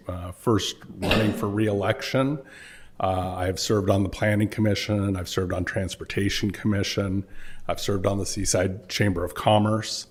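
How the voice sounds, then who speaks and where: Husky, flat-toned council candidate man. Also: fairly low pitch. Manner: measured, with frequent "uh" fillers. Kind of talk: candidate forum statement.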